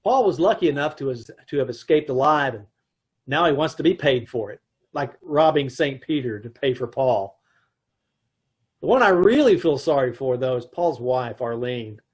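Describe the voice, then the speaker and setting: A man's voice: medium pitch, lively intonation, moderate pace, slightly rough. A man narrating and playing a dry, joking husband in an old-time radio comedy recreation. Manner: dry wry delivery with chuckles. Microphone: home mic on video call.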